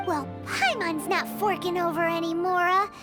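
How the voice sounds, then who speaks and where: A woman voicing a tiny, excitable, childlike fairy companion in an English game dub, blunt and childish in manner. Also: extremely high pitch, moderate pace, loud music behind the voice. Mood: baffled, indignant. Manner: childish, blunt, refers to self in third person. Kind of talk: English video game voice acting.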